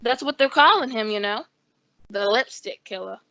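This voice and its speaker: An actress playing a gossipy, harried small-town telephone operator, chatty and brisk, in old-time radio style. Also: female voice, a little high, expressive, fairly bright tone, no echo, highs cut off.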